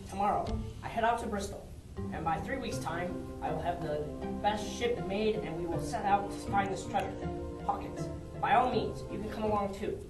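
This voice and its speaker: A man performs an eager gentleman adventurer, declaiming in a puppet show. His voice is high and carries from a distance in an echoey space. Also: normal speed, natural voice. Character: eager, commanding gentleman planning a treasure voyage. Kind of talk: amateur puppet show acting. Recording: echoey room, distant mic, music behind.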